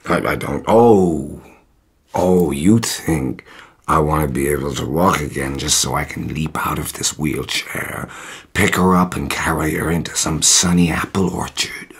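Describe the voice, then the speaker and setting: An actor playing an ailing, bitter, lecherous former movie star in an audio drama, slurring and sardonic. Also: male voice, extremely low pitch, fairly bright tone, full character voice. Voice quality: slurred speech. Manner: sardonic, taunting.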